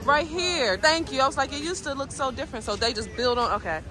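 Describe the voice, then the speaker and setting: A woman's voice: high-pitched, animated, fast. A young woman from Washington, D.C. chatting casually to her viewers as she vlogs her weekend, filmed on a phone held at arm's length. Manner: chatty, laughing, talks straight to viewers. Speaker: vlogger. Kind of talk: casual vlog chat. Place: outdoors on a busy city waterfront. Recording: loud music and crowd behind.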